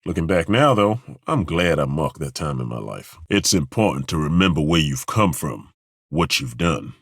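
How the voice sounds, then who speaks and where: An actor playing a gruff, blunt male ex-temple guard in a game, speaking with a very deep, commanding voice and a wry, casual way of swearing. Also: big pitch swings, moderate pace, a bit bright, no echo, clean studio sound, exaggerated voice. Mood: wry, easygoing. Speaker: companion in a game. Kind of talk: game voice acting. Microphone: studio microphone.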